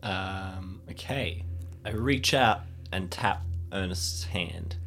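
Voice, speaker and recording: A man's voice: low-pitched, slow pace, fairly bright tone, soft background music. A man playing an improvised audio drama, talking in a casual, rambling, colloquial way. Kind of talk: casual in-character improvised chat.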